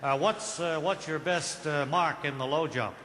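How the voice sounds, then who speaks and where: A man playing an earnest, halting, blunt sports interviewer with a deadpan manner in a radio comedy sketch. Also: a little high, in a large hall, a little hiss or hum.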